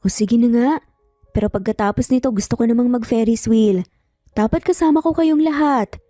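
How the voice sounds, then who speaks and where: A woman's voice: on the high side, fairly quick, dark tone, close to an everyday voice. A woman voicing an affectionate mother of young children in a story, playfully scolding and indulgent. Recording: faint music, roomy echo.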